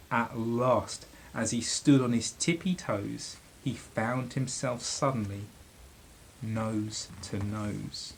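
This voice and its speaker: A middle-aged man reading a children's story aloud, dramatically and with deliberate pauses. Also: medium pitch, slight background noise, poor audio. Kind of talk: expressive children's storybook narration.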